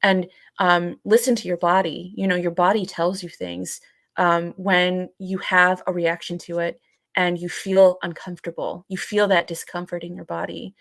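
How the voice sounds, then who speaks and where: A woman co-hosting a podcast in casual discussion, thinking aloud in a hesitant, halting way. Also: fairly low pitch, little pitch movement, creaky, fairly bright tone, no room sound, clean studio sound. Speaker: podcast co-host.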